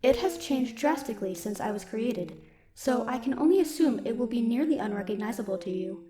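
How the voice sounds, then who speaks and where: A woman playing a stoic, dutiful military robot in an audio roleplay, speaking in a formal, measured, protective way. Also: a little high, lively intonation, lightly acted. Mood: factual, measured. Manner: formal, measured, literal wording. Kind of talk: scripted audio roleplay, speaking softly to one listener.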